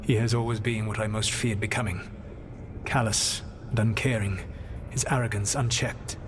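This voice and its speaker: Man playing a solemn, low-voiced ancient elven rebel. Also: fairly even intonation, in a large hall, slight background noise, close to an everyday voice. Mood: regretful, grave. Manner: formal, deliberate, eloquent. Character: ancient male elven god-rebel, grave, regretful, proud. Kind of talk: video game dialogue acting.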